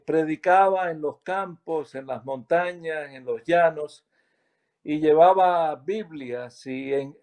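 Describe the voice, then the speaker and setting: A man with a Cuban accent tells a church story at an unhurried pace, his voice sitting a little high for a man. He stops often to search for words and fix what he just said, like someone sharing a personal history. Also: a little mellow. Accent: Cuban Spanish. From Cuba. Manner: unhurried, with many hesitations and self-corrections. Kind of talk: slow, reflective testimony.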